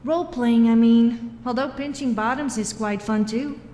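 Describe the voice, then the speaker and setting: A woman's voice: fairly high pitch, moderate pace, dark tone, natural voice. A woman voicing a cheeky, flirtatious female companion in a fantasy video game, with a playful, teasing delivery. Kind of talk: video game follower voice acting. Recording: echoey hall reverb.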